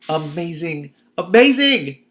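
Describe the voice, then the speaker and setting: An expressive, excitable man reading a book aloud as a host, breaking in with his own commentary. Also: on the high side, takes it slowly, slightly rough. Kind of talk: expressive audiobook-style reading with spontaneous commentary.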